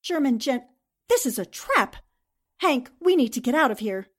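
Woman acting a high-voiced, spirited newspaperwoman. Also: very animated, moderate pace. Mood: indignant. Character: spirited, indignant female newspaper columnist heroine. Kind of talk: 1940s radio drama acting.

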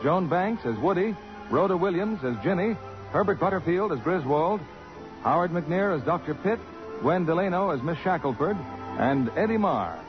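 Animated male vintage radio announcer. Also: high voice, normal speed. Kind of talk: 1950s radio commercial announcing, scripted. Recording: dull vintage radio recording. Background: music.

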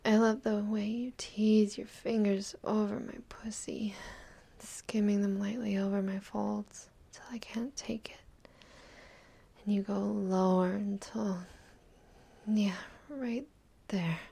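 Slow, husky whispering woman. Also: mid-range voice, level tone. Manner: mostly whispering, soft and teasing. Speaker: audio roleplay performer. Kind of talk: intimate whispered roleplay.

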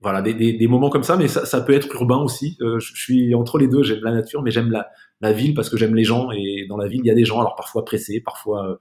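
A man's voice: mid-range voice, steady tone, fast talker, a little mellow. A man speaking as a podcast guest in a casual, reflective interview, searching for his words and often repeating them.

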